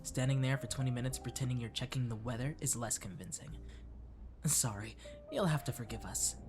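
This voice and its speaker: A man acts out a friendly catboy cafe waiter for an ASMR roleplay. He is courteous and flirty, coaxing the listener gently at a medium male pitch, with clear music behind him. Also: brisk, close to an everyday voice. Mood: teasing. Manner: attentive, gently coaxing, lapsing into whispers. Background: clear background music.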